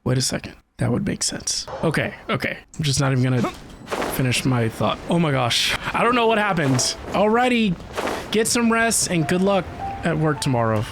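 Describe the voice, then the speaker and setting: A woman's voice: low-pitched, very animated, normal speed. A woman streamer chatting casually with viewers during a live game stream, full of conversational asides. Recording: stream with game music behind. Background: video game music.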